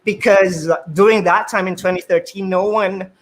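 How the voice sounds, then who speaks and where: A man's voice: sits high, fairly even intonation, normal speed. A man with a Filipino accent, a math teacher and a native Filipino speaker, earnestly telling his story as a panel guest on a video call, often repeating words as he thinks. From Philippines.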